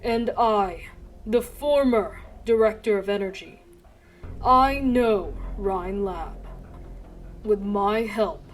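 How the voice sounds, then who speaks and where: A woman playing a bitter, calculating male former research director in a dramatic fan dub, speaking in a measured, persuasive way. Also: mid-range voice, darkish tone. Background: background music.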